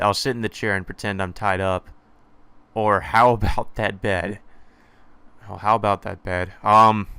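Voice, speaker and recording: A man's voice: on the low side, a bit bright, smooth, dry recording. A man reading visual novel text aloud with casual commentary, sometimes stumbling over words and correcting himself.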